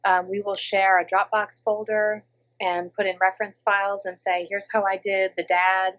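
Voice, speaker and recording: A woman who grew up in Minnesota talks casually about her narration work, her voice animated and often dropping into the voices of people she quotes. The sound is a little dull and lo-fi, as from a remote call. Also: mid-range voice, moderate pace. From Minnesota, USA. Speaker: audiobook narrator. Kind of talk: casual interview chat.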